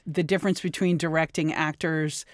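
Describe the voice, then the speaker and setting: A woman's voice: low voice, steady tone, moderate pace, dry recording, studio quality. A woman interviewing actors in casual conversation, as an audio drama creator.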